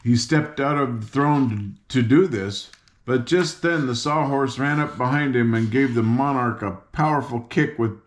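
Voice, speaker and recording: An older man with a mid-pitched voice narrates a storybook as if at home with listeners. He is informal and folksy, slipping into little asides and tripping over the odd word. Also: moderate pace. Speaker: audiobook reader. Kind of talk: read-aloud storybook narration with chatty asides.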